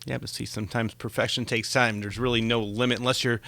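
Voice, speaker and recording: A man works as an interview host, asking questions of a guest. His voice is bright and sharp at an average pitch. The sound is dry, with very little room in it. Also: little pitch movement, fast, creaky, no room sound. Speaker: talk show host.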